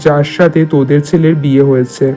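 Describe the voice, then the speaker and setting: A man's voice: fairly high pitch, fairly even intonation, a little fast, mellow, faint music behind, natural voice. A man playing a revered, self-assured village holy man who speaks in prophecy in a cartoon. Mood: adamant. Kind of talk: Bengali moral-tale cartoon dubbing.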